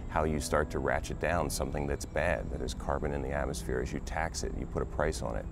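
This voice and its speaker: Man with an extremely deep voice, halting interview answer, music clearly behind. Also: fairly even intonation. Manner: conversational, halting with fillers.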